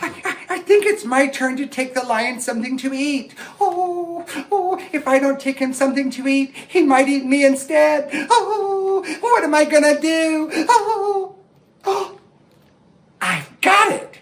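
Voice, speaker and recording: A man's voice: very high-pitched, unhurried, voice pushed up. A man voicing a small, timid male frog in a puppet show, fretful and stammering. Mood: panicky.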